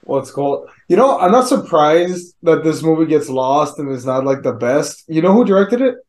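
Higher-pitched, blunt male podcast co-host. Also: sing-song, normal speed, a little mellow. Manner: blunt, swearing, teasing. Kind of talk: casual movie review chat.

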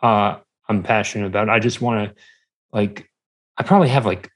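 A man chatting casually as an interview guest, halting and rambling, often repeating words as he goes. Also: on the low side. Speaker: podcast guest, small-business entrepreneur.

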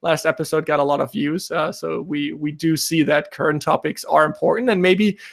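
A man's voice: fairly high pitch, fairly even intonation, normal speed, gravelly. A man from Germany hosting a podcast in casual remote chat, upbeat and rambling, laughing easily. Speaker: podcast host. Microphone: remote recording setup.

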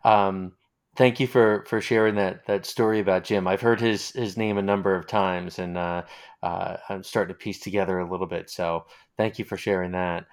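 A man hosting a casual interview, speaking in halting phrases with repeated words. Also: low-pitched, fairly even intonation. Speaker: interviewer, host.

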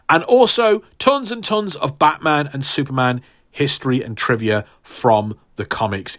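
A man's voice: mid-range voice, fairly bright tone. A man hosting a podcast, talking straight to listeners in an emphatic, mock-grandiose way, casual but partly scripted. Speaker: podcast host.

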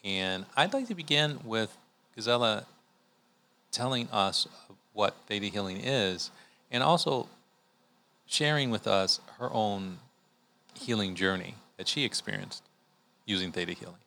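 A man hosting a podcast, calmly introducing the show and his guest. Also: lowish voice, unhurried, long pauses. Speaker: podcast host. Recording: music playing behind the voice.

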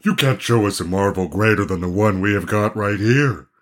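Guy doing cocky sneering gang boy from a storybook, raspy, kinda low, daring you. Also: lively intonation, normal speed, fairly bright tone. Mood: scornful. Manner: challenging, boastful storybook delivery. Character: scornful, boastful boy gang leader.

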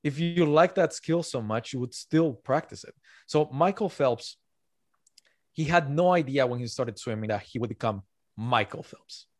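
A man's voice: mid-range voice, moderate pace, no room sound, studio quality. A man who is not a native English speaker, talking earnestly as an author guest on a podcast and repeating his key points. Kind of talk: podcast interview.

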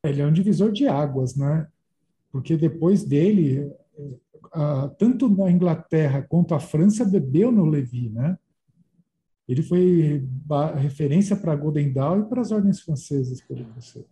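Brazilian co-host, very dark mellow voice. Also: male voice, fairly high pitch, animated, unhurried. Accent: Brazilian Portuguese. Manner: reminiscing, searching for words. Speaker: podcast co-host. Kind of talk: casual podcast chat.

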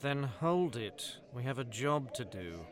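A man's voice: mid-range voice, expressive, measured pace, a crowd in the background, lightly acted. A man playing a prim, pessimistic robot with a British accent and dry, exasperated manner in a comedic sci-fi audio drama. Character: fussy, pessimistic, sardonic male robot butler.

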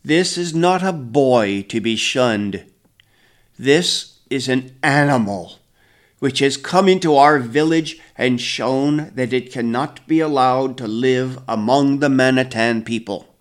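Stern old village elder, put on by a male reader, booming, slow, severe. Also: natural voice. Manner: booming, commanding, slow proclamations.

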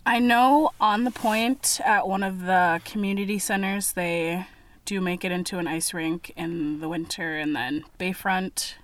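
A woman co-hosting a podcast in relaxed, casual conversation. Her voice sits fairly low. She hesitates often, with ums and self-corrections. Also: measured pace. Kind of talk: casual chat.

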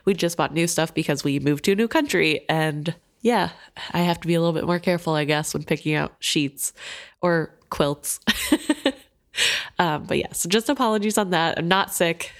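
A woman's voice: fairly low pitch, moderate pace. A young woman co-hosting a casual book podcast, stuffy from allergies and laughing often between chatty, filler-heavy remarks. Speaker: podcast co-host.

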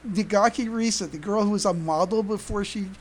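A podcast co-host debating casually as a fan, halting and repeating words while making a point. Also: mid-range voice, a loud crowd. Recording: faint background noise.

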